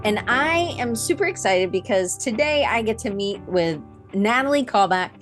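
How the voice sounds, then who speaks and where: A woman's voice: mid-range voice, animated, moderate pace, soft background music. A woman hosting a live-streamed interview show, chatty and rambling as she greets her audience. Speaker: podcast host.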